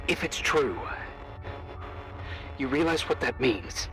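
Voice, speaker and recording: A man's voice: medium pitch, very animated, slow, fairly bright tone, faint music behind, poor audio. An actor playing a concerned, cautious man in a video game, speaking in an earnest, pleading, insistent way. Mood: grave, insistent. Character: concerned, cautious man urging a woman to safety. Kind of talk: naturalistic video game voice acting.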